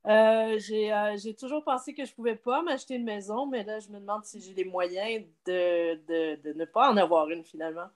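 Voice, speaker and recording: A woman's voice: mid-range voice, moderate pace, few pauses. A middle-aged woman from Quebec, speaking with a Québécois accent, introduces herself on a call. Her mid-range voice is easy and chatty, with frequent light laughter. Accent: Québécois French. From Quebec, Canada. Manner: chatty, laughs between phrases. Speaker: workshop participant.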